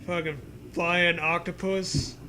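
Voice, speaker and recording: High voiced guy, slow laid back joking chat, swears a bit, faint noise behind. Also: fairly even intonation, bright, forceful tone. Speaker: co-host commenting.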